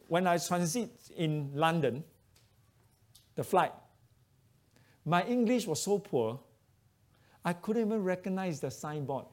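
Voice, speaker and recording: Malaysian guy telling his testimony at church, choppy english, fairly high voice. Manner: broken English, animated storytelling. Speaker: preacher giving testimony. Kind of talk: testimony sermon.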